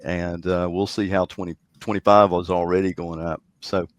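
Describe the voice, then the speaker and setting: Deep-voiced man, halting and unhurried. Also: little pitch movement, no room sound. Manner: halting, many fillers and restarts. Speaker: guest, contest organizer. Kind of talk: casual podcast chat.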